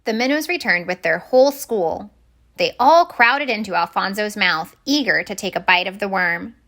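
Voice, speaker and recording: A woman reading a children's picture book aloud as expressive story-time narration. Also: medium pitch, normal speed.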